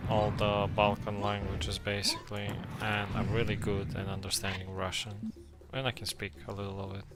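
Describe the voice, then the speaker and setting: A man's voice: on the low side, level tone, moderate pace, loud music behind the voice. A man with a Serbian accent, a native Serbian speaker, chatting casually on a live game stream, thanking and answering viewers and laughing often. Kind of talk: casual live-stream chat while gaming.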